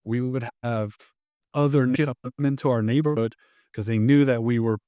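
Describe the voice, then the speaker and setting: A man in his fifties, from Tonga and raised in Los Angeles, tells long stories in a casual interview, with plenty of fillers and false starts. His pitch sits in an ordinary middle range. The sound is dry, with no room echo. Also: moderate pace, smooth. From born in Tonga, raised in Los Angeles. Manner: rambling, animated, frequent restarts and fillers. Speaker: martial arts instructor, podcast guest.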